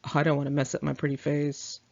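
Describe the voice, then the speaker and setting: A woman's voice: deep voice, completely flat delivery, fairly quick, vocal fry, darkish tone, no echo, highs cut off. A woman voicing a vain, nonchalant, effeminate glam rocker man in a memoir reading, with a prissy manner.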